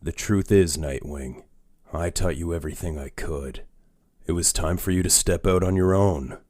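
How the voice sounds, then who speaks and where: An actor playing a brooding, guarded older masked crime-fighter in a comic dub, with a grave, measured delivery. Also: male voice, low voice, darkish tone, no echo, clean studio sound. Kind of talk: comic dub dramatic acting.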